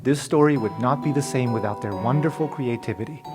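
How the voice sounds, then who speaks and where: A man's voice: medium pitch, fairly quick. A man hosting a tabletop roleplaying podcast and narrating as game master. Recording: music playing behind voice.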